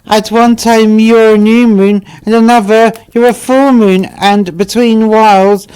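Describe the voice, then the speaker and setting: A man's voice: high-pitched, fairly even intonation, a little slow, a little mellow, clear, resonant tone, pitched above the performer's own voice. A man voicing the Moon's exasperated, chiding mother in a storybook fable.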